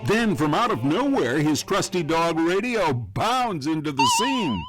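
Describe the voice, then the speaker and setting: A man's voice: on the high side, wildly swooping pitch, measured pace, a bit bright, exaggerated voice. A man playing a mock-grave, tongue-in-cheek narrator of a campy cliffhanger radio serial. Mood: mock suspense. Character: over-the-top male cliffhanger announcer, mock-grave and wry.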